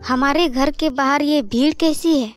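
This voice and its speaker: A woman's voice: high voice, unhurried, soft background music. A woman voicing a polite, earnest young daughter in a cartoon fairy tale. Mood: anxious. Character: polite, anxious young girl devoted to her mother.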